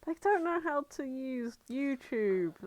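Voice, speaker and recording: A young British woman co-hosting a podcast, chatting casually and comically, telling stories in an animated way and acting out bits of dialogue. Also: high-pitched, measured pace, dry recording. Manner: animated storyteller, acts out imagined dialogue. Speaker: podcast co-host. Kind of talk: casual comedic chat.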